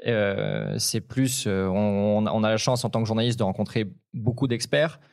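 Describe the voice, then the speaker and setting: A man's voice: fairly low pitch, moderate pace, darkish tone. A young man of Ukrainian origin, a tech journalist on a talk show panel, speaking hesitantly with many fillers. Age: young adult.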